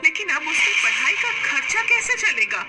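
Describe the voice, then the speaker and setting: A woman's voice: very high voice, big pitch swings, normal speed, pushed and bright, soft background music, voice pushed up, full character voice, poor audio. A woman voicing a nagging, worried farmer's wife and mother who scolds and exclaims in a story.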